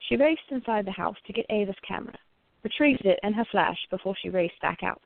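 A woman's voice: mid-range voice, animated, fairly quick, vocal fry, no echo, narrow, tinny audio. A woman narrating a radio play from a script.

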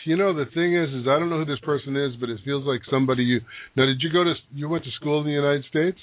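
A man working as a psychic medium who gives halting, searching readings to callers on a live call-in radio show, with many restarts. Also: a little high, dry recording. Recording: dry, narrow phone-like broadcast sound.

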